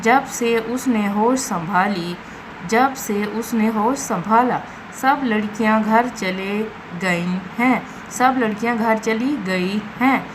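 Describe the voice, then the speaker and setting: A woman with a Pakistani accent teaching grammar, deliberately reading out example sentences and repeating them in an instructive way. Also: medium pitch. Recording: loud background noise, amateur recording.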